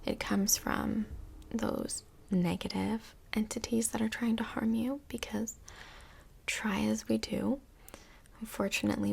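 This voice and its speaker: A woman playing a calm, tactful counsellor in Hell in an ASMR role play, speaking softly in a whispery, crackly voice with gentle, hesitant phrasing. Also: medium pitch, vocal fry, breathy edge, exaggerated voice. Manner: gentle, hesitant, diplomatic therapist talk.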